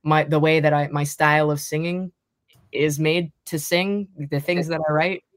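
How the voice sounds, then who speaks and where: A young woman singer-songwriter with a deep, slightly raspy speaking voice, talking casually in an interview and rambling with many restarts. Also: steady tone, moderate pace, dry recording, clean studio sound. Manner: rambling, lots of likes and restarts.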